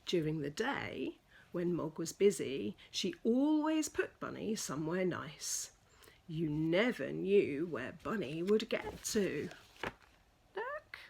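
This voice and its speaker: A woman reading a children's picture book aloud as a storyteller, with deliberate, dramatic emphasis for young listeners. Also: on the low side, huge pitch swings, gravelly, a little mellow, slight background noise.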